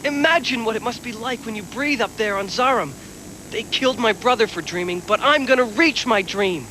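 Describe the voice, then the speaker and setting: A man's voice: very high voice, a little fast, a little hiss or hum, poor audio. A man voicing a defiant, yearning young dreamer in a dubbed anime. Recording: old VHS tape, loud music behind.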